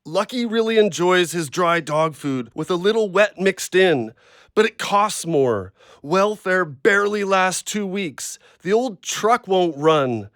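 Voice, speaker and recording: A hard-up, injured ex-logger in an audio drama. His voice sits high for a man and is recorded very dry. He talks bluntly and rambles, agitated and fiercely attached to his dog. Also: expressive, slow, fairly bright tone, no room sound, exaggerated voice. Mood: agitated, pleading. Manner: blunt, rambling, plain-spoken. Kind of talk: audio play acting.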